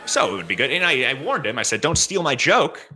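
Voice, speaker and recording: Quick deadpan podcast host guy, bouncy voice, kinda bright. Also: mid-range voice, soft background music. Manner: deadpan, mock-earnest host patter. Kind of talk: casual comedy podcast chat.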